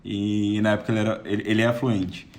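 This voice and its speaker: Chatty Brazilian man, lowish voice. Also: little pitch movement, fast. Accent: Brazilian Portuguese. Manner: chatty, with frequent restarts and laughs. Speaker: guest. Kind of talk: casual podcast chat.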